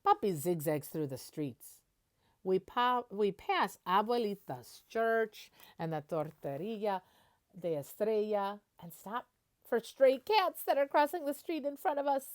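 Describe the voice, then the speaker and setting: A woman reading a children's picture book aloud like a teacher, expressively, pausing to explain words simply. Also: mid-range voice, lively intonation.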